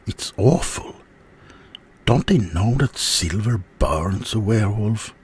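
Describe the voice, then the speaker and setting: A man voicing a sulky, offended male werewolf in an ASMR roleplay, halting and aggrieved, often dropping into whispers. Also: fairly low pitch, expressive, a little hiss or hum. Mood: indignant. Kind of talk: ASMR character roleplay monologue.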